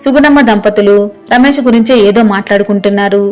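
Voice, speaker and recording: A woman's voice: mid-range voice, quick pace. A woman reading a scripted story as its narrator. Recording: music behind the voice.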